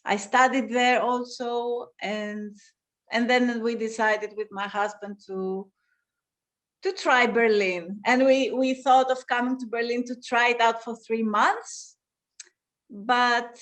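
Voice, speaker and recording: Woman dancer talking slow on a video call, keeps restarting sentences, voice a bit high. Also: steady tone, fairly bright tone. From Greece. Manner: thoughtful, searching for words, frequent restarts. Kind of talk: interview over video call.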